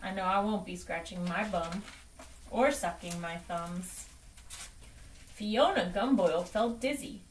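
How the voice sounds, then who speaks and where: A young woman reading a storybook aloud to children in an expressive, deliberate way. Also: fairly low pitch, slight background noise. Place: small room at home, indoors. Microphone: camera microphone from across the room. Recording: distant microphone in a room.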